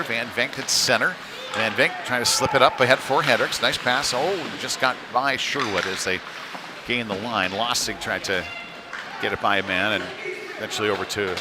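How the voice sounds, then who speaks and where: A man doing casual, conversational play-by-play commentary on a live hockey game, with slightly hesitant phrasing. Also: mid-range voice. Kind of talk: live amateur sports commentary. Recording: noisy live arena sound.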